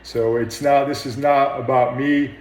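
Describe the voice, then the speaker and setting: A man speaks in an interview as a firefighter. His medium-pitched voice sounds plain and modest, recorded with a noticeable room echo. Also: fairly even intonation, a little slow, darkish tone, a little hiss or hum. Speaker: firefighter officer. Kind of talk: interview account of an incident.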